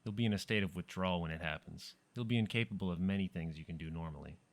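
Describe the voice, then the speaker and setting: Deep, brisk captor. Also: male voice. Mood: coolly dismissive. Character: blunt, unfazed male captor. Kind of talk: audio drama acting.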